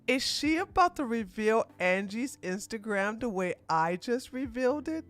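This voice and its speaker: A woman streamer reacting to a video in casual, blunt commentary, speaking with emphatic force and sometimes breaking into loud, indignant outbursts. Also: fairly high pitch, lively intonation, normal speed, soft background music.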